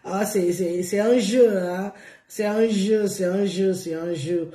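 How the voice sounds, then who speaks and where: A hoarse woman chatting on a live stream, repeating herself and coaxing viewers along with drawn-out words. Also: medium pitch, dark tone, reverberant. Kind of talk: casual live stream chat.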